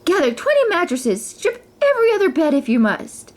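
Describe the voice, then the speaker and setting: A woman voicing an excitable, bossy, scheming middle-aged queen from a fairy tale, exclaiming and fussing as she gives orders. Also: very high voice, brisk, pitched above the performer's own voice, full character voice. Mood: urgent, commanding. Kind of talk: children's storybook character reading.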